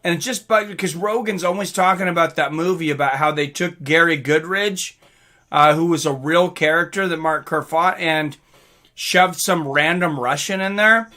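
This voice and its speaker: A man hosting a casual livestream, reading out viewer comments and reacting in an excitable, informal way with some swearing. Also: fairly high pitch, moderate pace. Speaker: livestream host.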